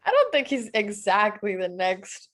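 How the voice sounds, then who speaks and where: A woman's voice: mid-range voice, very animated, unhurried. An actress at a table read playing a sly, sugary young woman who mocks her friend. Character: young woman Megan, sly and mocking cousin.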